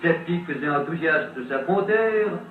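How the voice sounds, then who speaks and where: A thin, phone-like old soundtrack carries a male narrator with a lively, swooping voice. He tells his scripted story like a vintage French newsreel, with dramatic pauses. The sound is roomy, with faint music behind. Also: on the high side. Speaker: documentary narrator. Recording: old tinny band-limited film soundtrack, roomy, distant.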